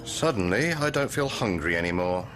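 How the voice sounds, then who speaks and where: An actor in a radio drama playing a hot-tempered, sarcastic space station engineer, blunt and exasperated. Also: male voice, low voice, expressive, normal speed, over music.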